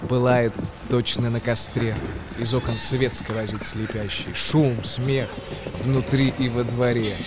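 A man, an actor, narrating a tale in verse for a radio play in an expressive, declamatory way. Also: mid-range voice.

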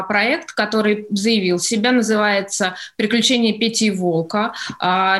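Woman as interview guest: medium pitch, careful and halting delivery, qualifying her answers. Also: fairly bright tone. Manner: measured, hesitant, qualifies her phrasing. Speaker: interview guest, studio executive. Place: radio studio.

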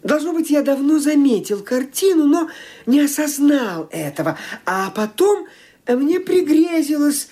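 A man's voice: very high voice, big pitch swings, measured pace, warm tone, exaggerated voice. An actor playing a genteel nephew in a radio drama, hemming and hesitating as he talks. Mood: hesitant, musing. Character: genteel nephew, hesitant, explaining things away.

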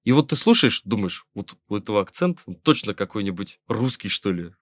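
A man's voice: fairly low pitch, very animated, brisk. A middle-aged Russian man, a musician guest in a casual interview, talking wryly in rambling sentences with many fillers and restarts.

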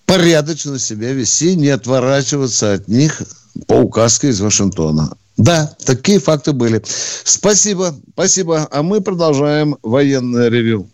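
A man's voice: medium pitch, very animated, normal speed, darkish tone, no echo. A man co-hosting a call-in radio show on army questions, questioning callers in a dry, probing, sarcastic way. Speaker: radio co-host, retired colonel. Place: radio studio.

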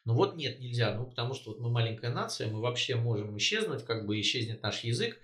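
A man's voice: medium pitch, sing-song, quick pace, fairly bright tone. Man hesitating through political talk. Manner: hesitant, many filler sounds, emphatic. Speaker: co-host. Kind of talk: casual political discussion. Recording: roomy echo.

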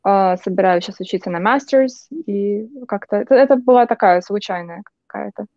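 Young woman from Russia, interview guest; mellow, chatty anecdotes, dull dry lo-fi sound. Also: mid-range voice, moderate pace, dry recording. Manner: chatty, anecdotal, frequent restarts. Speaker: guest, journalist. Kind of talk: casual interview chat.

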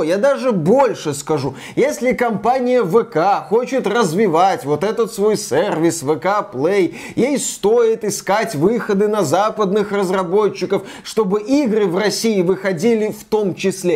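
A man's voice: high-pitched, darkish tone. A man hosting a gaming news show, commenting conversationally with emphatic, rhetorical points and wry asides.

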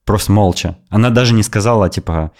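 A podcast host with a very deep voice tells a travel story over casual chat. He speaks with lively, opinionated conviction. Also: brisk.

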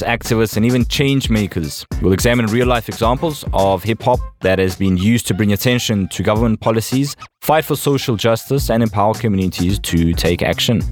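A young man from South Africa hosting a podcast interview, reading an upbeat intro and then chatting casually. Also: lowish voice. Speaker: podcast host.

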